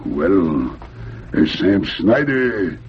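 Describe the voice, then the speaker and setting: An actor playing a hesitant, folksy frontier man in an old-time radio drama, with a gruff, husky voice and halting rustic speech. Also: low voice, sing-song, a little hiss or hum, full character voice. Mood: troubled, pondering. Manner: halting, rustic backwoods talk. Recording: dull vintage radio recording.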